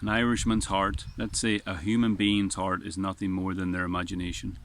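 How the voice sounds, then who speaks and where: Man, low strong voice, rambling earnest vlog delivery, recorded outdoors by the sea with faint wind behind him. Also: monotone, fairly quick. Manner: rambling, earnest, frequent restarts. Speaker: vlogger. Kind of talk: casual spoken reflection. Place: outdoors on a windy beach.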